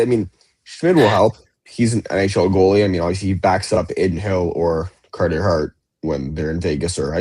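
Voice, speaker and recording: A man's voice: sits low, a little mellow, husky, dry recording. A man co-hosting a podcast, talking sports in a loose, rambling way with lots of fillers. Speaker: podcast co-host. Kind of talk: casual sports chat.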